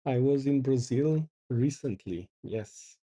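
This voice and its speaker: Middle-aged man host, husky and unhurried, talking himself through commands. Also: medium pitch, expressive, dark tone. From Porto, Portugal. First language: Portuguese. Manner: thinks aloud while reading out commands. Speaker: channel host. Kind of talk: casual live coding commentary.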